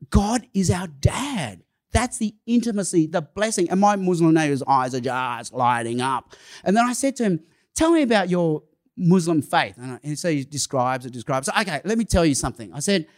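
High-voiced man preaching, animated. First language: Cantonese. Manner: animated, acts out dialogue with rising emphasis. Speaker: pastor. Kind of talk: sermon storytelling.

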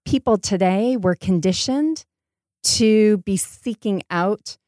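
A woman's voice: medium pitch, takes it slowly, darkish tone, no echo, studio quality. An American woman giving reflective, conversational interview answers, making her points with emphasis. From Maine, United States. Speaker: podcast guest, startup entrepreneur.

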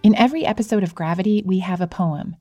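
A woman's voice: medium pitch, fast talker, warm tone, no echo, muffled recording, faint music behind. A woman hosting a podcast, talking in a reflective style. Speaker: podcast host.

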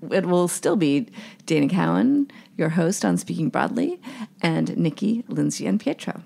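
A woman's voice: lowish voice, sing-song, moderate pace, vocal fry, dark tone. A woman hosting a podcast, asking her guests curious questions. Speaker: podcast host. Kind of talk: interview.

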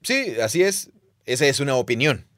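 A man's voice: medium pitch, sing-song, moderate pace, a bit bright, dry recording, studio quality. A man co-hosting a podcast, explaining ancient texts at length and reading quotes aloud. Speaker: podcast co-host, Bible teacher.

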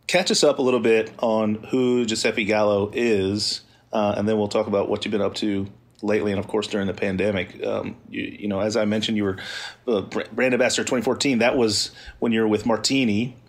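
A man's voice: fairly low pitch, steady tone, fairly quick. A man hosting a podcast interview, asking rambling, friendly questions with many fillers and restarts. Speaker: podcast host. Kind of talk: casual interview chat.

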